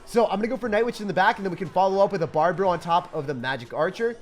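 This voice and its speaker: A man's voice: high voice, racing. A young man giving hyped, playful, slangy commentary over gameplay as a video host. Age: young adult.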